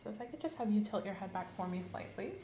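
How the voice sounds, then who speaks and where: Soft-spoken woman giving a slow exam. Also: medium pitch, expressive, echoey room, a little hiss or hum. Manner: slow, gentle, instructive, often whispering. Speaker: ASMR creator playing an examining clinician.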